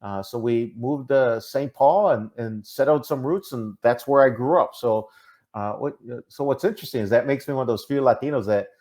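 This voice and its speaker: Man, Minnesotan accent, mid pitch, halting with fillers, casual podcast guest. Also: darkish tone. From Minnesota, USA. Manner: hesitant, many fillers and restarts. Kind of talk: casual interview talk.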